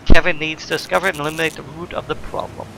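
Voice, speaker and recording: A man's voice: fairly high pitch, little pitch movement, very fast, sharp tone, slight background noise, low-quality recording. A man giving casual, explanatory let's play commentary as the host of a gaming video, informal in manner.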